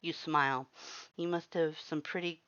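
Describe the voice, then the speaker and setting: Female story narrator, low register, audiobook delivery, dull lo-fi recording. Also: steady tone, moderate pace, fairly bright tone, clear, resonant tone. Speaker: fan fiction narrator.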